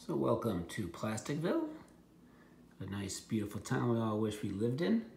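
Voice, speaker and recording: Deep, gravelly, wry man. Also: big pitch swings, normal speed, a little mellow. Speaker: reseller showing thrift finds. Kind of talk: casual off-the-cuff unboxing commentary. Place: indoor room at home, at a table. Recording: noisy home recording with handling clicks.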